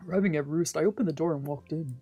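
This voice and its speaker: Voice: mid-range voice, quick pace. A streamer reading a visual novel's narration aloud, occasionally stumbling over a word. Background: background music from a video game.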